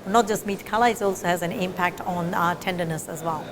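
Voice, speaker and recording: A woman's voice: on the low side, brisk. A middle-aged woman from Fiji, a meat scientist, explaining her research in an interview with frequent fillers and restarts. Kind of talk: interview answers.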